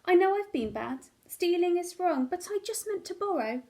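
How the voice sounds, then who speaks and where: A woman plays a sheepish male book thief from a picture book. The voice is very high and meek, with a sniffly, apologetic way of speaking. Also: animated, normal speed, darkish tone. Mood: remorseful, meek.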